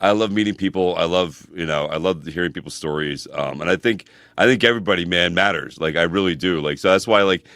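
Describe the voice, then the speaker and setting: Male podcast co-host, rambling and casual, quick delivery, dry studio sound. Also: low voice, fairly bright tone, no echo. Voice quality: fast, rambling, uses "freaking" and "bro" a lot. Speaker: podcast co-host, personal trainer.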